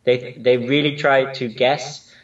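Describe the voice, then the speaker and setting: A man's voice: medium pitch, level tone, slow pace, far from the mic, highs cut off. A man from Spain who grew up with Spanish and Dutch speaks English as a guest in a casual interview. He talks hesitantly, with many fillers and restarts. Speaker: line dance instructor, guest.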